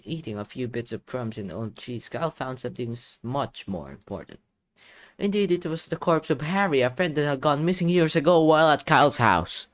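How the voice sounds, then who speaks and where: A man doing playful game commentary, reading story text aloud and reacting with exclamations, his voice a little tired and strained. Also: medium pitch. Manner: animated, exclaiming reactions between read passages. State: voice tiring and strained. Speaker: gaming video creator.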